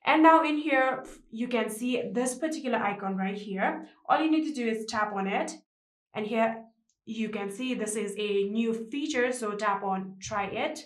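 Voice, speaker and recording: High-voiced woman presenting a tutorial, roomy sound. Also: normal speed. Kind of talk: scripted how-to screen tutorial narration.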